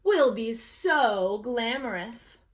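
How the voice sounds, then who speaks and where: A woman voicing a vain, excitable farm hen in a children's storybook, gushing and theatrical. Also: on the high side, slow pace. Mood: smug delight. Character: vain, excitable glamour-loving farm hens.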